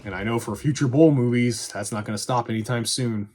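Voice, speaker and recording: A man with a husky voice giving casual, scripted movie review commentary in a sarcastic, irreverent, sweary manner. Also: mid-range voice, fairly quick, a little mellow. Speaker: video reviewer.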